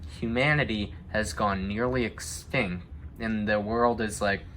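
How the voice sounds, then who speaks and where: A man's voice: lowish voice, fairly even intonation, measured pace, fairly bright tone, a little hiss or hum. A young man chatting casually and giving his opinions, thinking aloud as he goes. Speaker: discussion host. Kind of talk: casual chat about video game movies.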